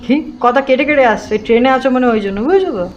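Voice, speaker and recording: A woman's voice: high-pitched, expressive, rapid-fire, a crowd in the background. A woman making a prank phone call, teasing and laughing while she fibs about where she is. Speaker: prank caller. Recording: phone call with clear background noise.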